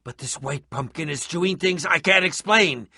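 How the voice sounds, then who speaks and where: A man's voice: fairly high pitch, normal speed, sharp tone, husky, no room sound, clean studio sound, full character voice. A man voicing a pompous, irritable, middle-aged eccentric adventurer and potion maker in a video game, with a dramatic, sardonic, fussy delivery. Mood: wary, uneasy. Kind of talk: animated video game voice acting.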